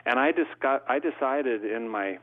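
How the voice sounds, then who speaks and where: A man's voice: medium pitch, moderate pace. A middle-aged man from California, a court reporter and interview guest, telling long stories in a thoughtful, conversational way. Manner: thoughtful, long storytelling answers. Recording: tinny phone-like line.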